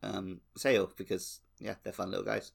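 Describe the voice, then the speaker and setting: A man's voice: sits low, level tone, normal speed, creaky, slightly rough. A man co-hosting a casual gaming podcast in a chatty, jokey way. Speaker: podcast co-host. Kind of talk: casual chat about a video game.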